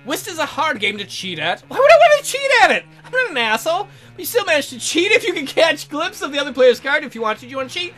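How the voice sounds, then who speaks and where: A man's voice: extremely high pitch, sing-song, fast talker, hardly any pauses, bright, forceful tone, soft background music. A man hosting a let's play, reading a text adventure aloud with goofy, crude asides.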